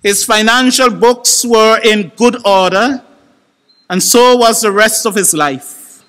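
A man's voice: high voice, takes it slowly, fairly bright tone. A middle-aged man preaching a sermon at a church podium, impassioned and emphatic. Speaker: pastor. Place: church sanctuary with congregation. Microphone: podium microphone. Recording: long church reverb.

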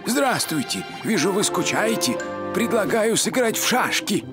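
A courteous cartoon crayfish, lonely and a little hesitant. He talks slowly with a swinging, lively melody, over music. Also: sits high. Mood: polite, hopeful. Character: lonely, polite male crayfish, eager checkers player. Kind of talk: cartoon voice acting. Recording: music under the voice.

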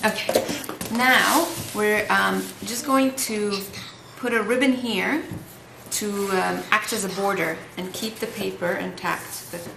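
A woman's voice: mid-range voice, takes it slowly. A woman in her thirties, encouraging as she gives casual step-by-step craft instructions at a table at home. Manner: encouraging, praising. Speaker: craft tutorial host and mother. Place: indoors at a home dining table. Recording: roomy echo, clear background noise and clicks.

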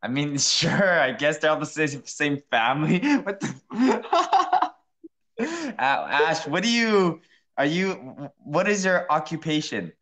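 Teasing young man with lively pitch. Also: slow. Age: young adult. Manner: teasing, laughs often, plays an irate customer. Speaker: livestream host. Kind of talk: casual live stream chat. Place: indoor room at home. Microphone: phone held close.

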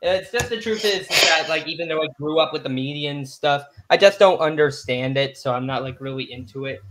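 A man's voice: a little high, brisk, a bit bright. A man from Texas in casual chat on a live stream call, rambling with lots of fillers. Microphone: video call. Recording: echoey room on a call.

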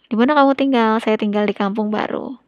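A woman with an Indonesian accent giving an English lesson as a teacher, explaining and slowly reading out example phrases in an instructional way. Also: a little high, steady tone, dry recording, dull audio. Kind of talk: slide-based language lesson, mixing explanation with read-out example sentences.

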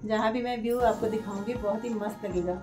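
A woman's voice: mid-range voice, steady tone, quick pace, roomy sound. A woman, chatting casually and repetitively as she vlogs at home on a phone camera. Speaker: vlogger. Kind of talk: casual family vlog chat. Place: living room at home. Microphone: handheld phone camera. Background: background music.

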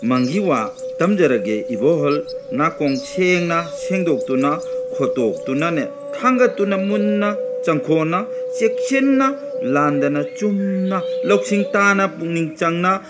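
Man as rapid-talking deferential servant. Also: a little high, lively intonation, close to an everyday voice. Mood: eager reporting. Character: deferential, chatty male servant reporting to his master. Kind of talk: radio drama acting. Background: background music.

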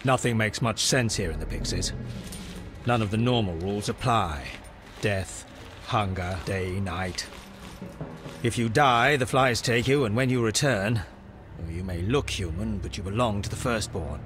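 An actor playing a wry, weathered British army major of the Second World War in a video game cutscene, talking colloquially. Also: male voice, fairly low pitch, unhurried, a bit bright, soft background music. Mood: grave, storytelling.

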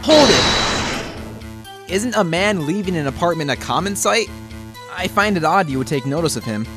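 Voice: medium pitch, lively intonation, measured pace, over music, natural voice. An actor playing a young, unsure rookie defense lawyer in an anime-style game fan dub. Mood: challenging.

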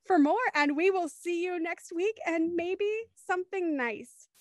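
A young woman hosts a casual online panel chat in a high voice. The sound is dry and close, picked up at home on a video call. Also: a little slow, no room sound. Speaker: host of a podcast after-show.

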